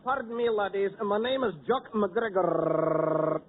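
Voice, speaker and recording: A man's voice: high-pitched, animated, slow. An actor playing a proud, thrifty Scotsman with a put-on Scottish accent, in a 1940s radio comedy. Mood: stiff. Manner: stiff and proud. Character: Scotsman Jock McGregor, fussy and thrifty. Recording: tinny 1940s radio broadcast with hiss.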